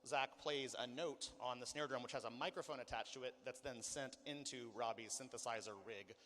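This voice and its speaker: Male clinic presenter, mid-pitched and rapid, informal explainer delivery, recorded in a big echoing hall. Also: fairly bright tone. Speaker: music coordinator and sound designer giving a clinic. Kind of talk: spoken clinic presentation with demonstrations. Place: large hall, live clinic performance. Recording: long hall reverb.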